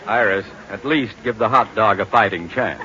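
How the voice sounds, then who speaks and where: An actor playing a wry, blustery middle-aged American bank boss in an old-time radio comedy. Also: male voice, fairly low pitch, normal speed, lightly acted. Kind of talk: 1940s-50s radio comedy acting. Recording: muffled, slightly noisy old radio recording.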